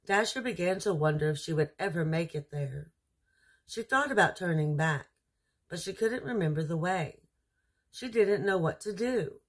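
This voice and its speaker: A woman reading a children's storybook aloud as a storyteller, in a measured, expressive manner. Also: on the low side, breathy edge.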